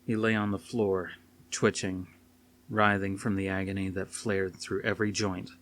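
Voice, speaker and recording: A man's voice: deep voice, flat delivery, moderate pace, fairly bright tone. A man reading aloud as an audiobook narrator, in a dramatic scripted style. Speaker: audiobook reader. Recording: faint noise behind the reading.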